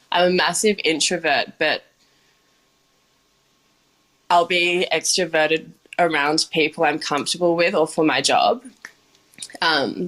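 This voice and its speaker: A woman's voice: deep voice, fairly bright tone, rough recording. A young woman, a bar manager and sommelier, talking casually in an interview with lots of "like" and "um". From Australia. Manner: chatty, many "like" and "um" fillers, laughs often.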